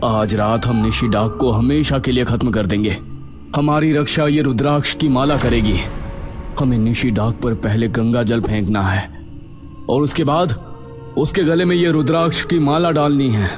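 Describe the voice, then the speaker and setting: A man voicing a firm, determined young police inspector in a cartoon story, with a brisk, authoritative delivery. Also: mid-range voice, music in the background, close to an everyday voice. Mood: commanding. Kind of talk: Hindi animated moral horror story dialogue.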